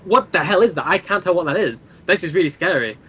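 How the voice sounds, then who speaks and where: Higher-voiced man on a muffled, noisy mic. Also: lively intonation, quick pace, bright, forceful tone. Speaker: podcast guest, creator of an audio drama series. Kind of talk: casual discussion. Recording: muffled band-limited mic with clear background noise.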